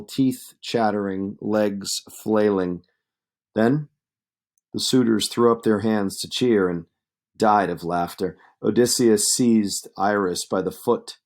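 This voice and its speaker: A man's voice: fairly low pitch, a little mellow. A man narrating epic poetry as a read-aloud. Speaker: reader, narrator.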